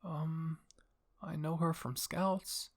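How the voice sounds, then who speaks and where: An earnest young woman soldier, acted out by a male narrator in an audio story. The dutiful daughter sounds hesitant, with a husky edge to the voice. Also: on the high side, steady tone, drawn-out words, heavily acted.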